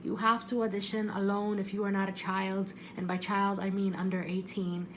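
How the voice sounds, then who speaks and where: A woman's voice: mid-range voice, little pitch movement, normal speed, a little hiss or hum. A woman giving casual, rambling advice, often interrupting herself and repeating phrases for emphasis. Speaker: vlogger giving audition advice.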